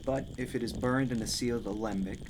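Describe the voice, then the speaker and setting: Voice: mid-range voice, fairly even intonation, a little fast, natural voice. An actor playing a learned, proud, mystical court magician in a radio play, formal and cryptic. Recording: background noise over the voice.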